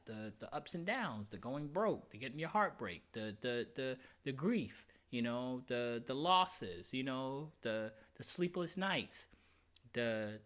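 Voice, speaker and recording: A man's voice: mid-range voice, sharp tone. A man in his late twenties talking casually on a livestream, candid and emphatic, reading chat comments aloud. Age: 20s. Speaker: streamer.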